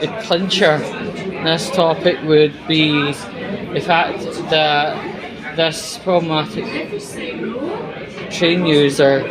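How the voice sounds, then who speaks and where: A Scottish man giving an informal vlog monologue while out walking, talking in a halting, hesitant, conversational way. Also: on the high side, fairly even intonation, crowd noise, rough recording. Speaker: vlogger. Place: out and about on a walk.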